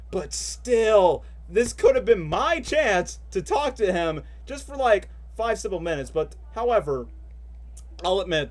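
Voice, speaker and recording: Male streamer, rambling and heated, slightly high pitch. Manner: rambling, heated ranting with fillers. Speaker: streamer and voice actor. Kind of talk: casual live-stream reaction chat.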